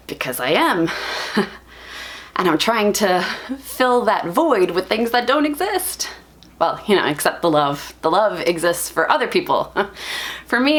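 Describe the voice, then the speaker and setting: A woman's voice: on the low side, a bit bright. A woman performing a dramatic monologue as a lovelorn young bookworm, confessional and self-deprecating, speaking with earnest emphasis. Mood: bitter, anguished. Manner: earnest, emphatic, halting with feeling.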